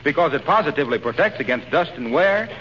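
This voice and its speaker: A man playing a cheery, self-satisfied advertising pitchman in 1940s radio comedy, with polished, emphatic salesman delivery. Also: animated, quick pace, fairly bright tone. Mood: eager pitching. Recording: muffled, hissy 1940s radio recording.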